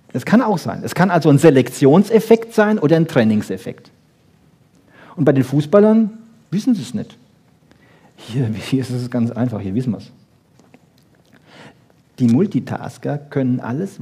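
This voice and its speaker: Animated male lecturer, slightly husky and mellow. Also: fairly high pitch. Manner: emphatic, rhetorical questions and repeated check-ins. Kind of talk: live popular-science lecture, colloquial.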